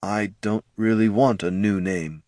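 A man's voice: fairly low pitch, fairly even intonation, a little slow, a little mellow, no echo, close to an everyday voice. A puzzled knight commander, played by a man talks in a blunt, plain-spoken way. He is serious, a fantasy audiobook hero. The recording is close and dry.

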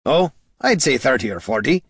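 A man voicing a gruff, brawling male dwarf warrior with a blunt, unbothered way of talking, in a fantasy audiobook. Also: medium pitch, big pitch swings, moderate pace, a bit bright, no room sound, studio quality, pitched above the performer's own voice, heavily acted.

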